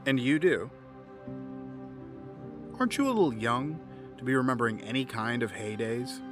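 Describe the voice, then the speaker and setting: An actor playing a wealthy, cautious businessman in an audio drama, measured and gently formal, doubtful but fond. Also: mid-range voice, expressive, over music, close to an everyday voice. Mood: skeptical.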